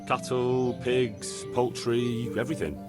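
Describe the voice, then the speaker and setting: A man's voice: medium pitch, fairly even intonation, takes it slowly, music in the background, natural voice. An actor playing a plainspoken, decisive young male bailiff in a medieval video game. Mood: matter-of-fact. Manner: plainspoken, matter-of-fact. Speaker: village bailiff character. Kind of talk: video game cutscene acting.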